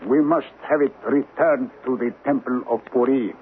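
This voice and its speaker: An actor playing a scheming man who poses as a humble temple envoy, speaking formally and deliberately in old-time radio drama style. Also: mid-range voice, phone-quality sound, natural voice. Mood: solemn, courteous. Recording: thin, noisy vintage radio recording.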